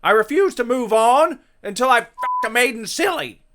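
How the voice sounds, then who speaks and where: Casting: male actor as brash dead young soldier, high and forceful, crude, melodramatic, visual novel comedy. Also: lively intonation, normal speed. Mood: defiant, crude. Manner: shouty, melodramatic, swearing. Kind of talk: dramatic reading of a visual novel.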